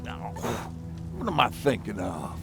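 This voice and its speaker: An actor playing a lighthearted, rambling old survivor in a video game, with a gravelly, folksy delivery. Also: male voice, lowish voice, sing-song, over music, heavily acted. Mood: somber, haunted. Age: older. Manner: folksy, reminiscing, pauses and chuckles. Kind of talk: dramatic video game acting.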